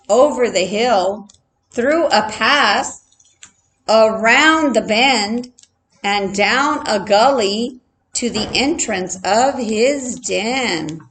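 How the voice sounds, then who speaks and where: A woman reading a children's story aloud expressively, like a teacher, deliberate and adding playful asides for her listeners. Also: fairly high pitch, fairly bright tone.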